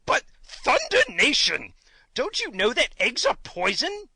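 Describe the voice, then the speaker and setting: Storybook gnome king, acted by a woman: small blustering male monarch, sharp forceful tone, pitch leaping wildly, indignant and theatrical. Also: huge pitch swings, normal speed, no echo, exaggerated voice. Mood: alarmed, blustering.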